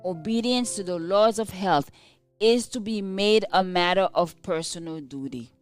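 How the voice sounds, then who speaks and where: A woman reading a scripted devotional aloud in measured, deliberate phrases. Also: medium pitch. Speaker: devotional reader. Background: instrumental music.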